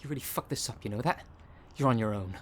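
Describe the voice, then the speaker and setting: A man's voice: mid-range voice, quick pace, slight background noise, close to an everyday voice. A man playing a tense, menacing poacher in an audio roleplay, threatening and swearing with urgency. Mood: angry. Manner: threatening, gruffly urgent, cursing. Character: tense, menacing, foul-mouthed male poacher.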